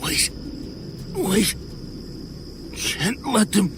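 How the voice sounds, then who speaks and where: A man voicing an injured, panicked man who speaks in choked, halting bursts. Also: high voice, sing-song, music in the background, pitched above the performer's own voice, heavily acted. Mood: desperate.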